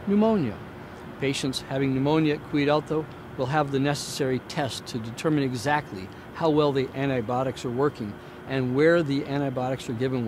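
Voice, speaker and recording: A man giving a prepared hospital newsreel update in a formal, measured, deliberate way, like an executive addressing the community. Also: mid-range voice. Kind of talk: prepared newsreel presentation to camera. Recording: clear outdoor traffic and ambient noise.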